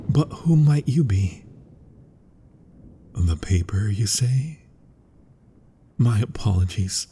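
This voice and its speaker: A man playing an eccentric, fervent gothic-horror mad scientist in an ASMR roleplay, speaking in a breathy, florid, courteous and theatrical way. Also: low-pitched, dark tone, slight background noise. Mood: courteous, curious. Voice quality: breathy, husky. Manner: florid, courteous, dramatic delivery. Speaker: voice actor in audio roleplay. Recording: long reverberant hall sound.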